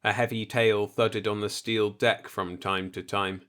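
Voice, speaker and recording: A man's voice: on the low side, little pitch movement, a little slow, a bit bright. Man narrating a sci-fi audiobook. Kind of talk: scripted first-person science fiction story narration.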